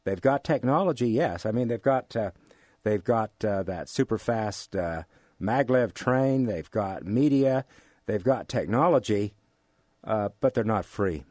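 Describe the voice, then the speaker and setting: An older man with an American accent explains things in an interview, haltingly, repeating phrases for emphasis. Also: fairly low pitch, lively intonation, darkish tone, dry recording, clean studio sound. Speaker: economics instructor giving an interview.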